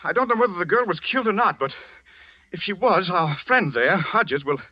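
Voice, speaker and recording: An actor playing a smooth, evasive scandal reporter, sly and gossipy, in an old-time radio mystery. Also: male voice, sits high, expressive, fairly quick. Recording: dry, muffled vintage radio recording.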